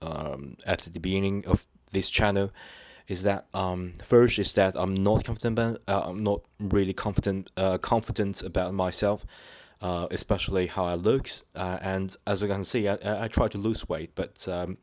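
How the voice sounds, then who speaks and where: Very low-voiced man talking haltingly. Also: steady tone, a little mellow, no room sound. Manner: halting, hesitant, many fillers and restarts; sincere, earnest. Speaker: channel host. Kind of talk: unscripted personal talk to viewers.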